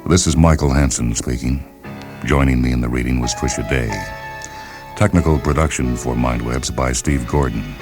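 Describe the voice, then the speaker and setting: An American man narrating a story for radio in a measured, solemn, dramatic read. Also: extremely low pitch. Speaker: narrator and host. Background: music under the reading.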